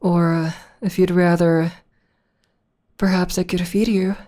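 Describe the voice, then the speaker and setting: A woman's voice: lowish voice, little pitch movement, dark tone, lightly acted. A woman playing a theatrical female supervillain in an ASMR roleplay, arch and teasing, coaxing and tender with a beloved enemy. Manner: arch, unhurried, coaxing, mock-grand.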